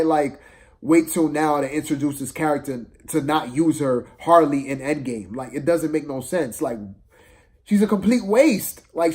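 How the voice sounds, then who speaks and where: A man's voice: fairly high pitch, darkish tone. A man giving a casual, opinionated movie review, exasperated and slangy, repeating phrases for emphasis.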